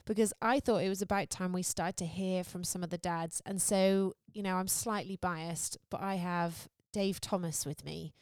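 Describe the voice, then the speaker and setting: An English woman in her thirties hosting a parenting podcast, bubbly and quick to laugh. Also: fairly low pitch, fairly even intonation, darkish tone, no echo, studio quality. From England. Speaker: podcast host. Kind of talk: casual podcast chat.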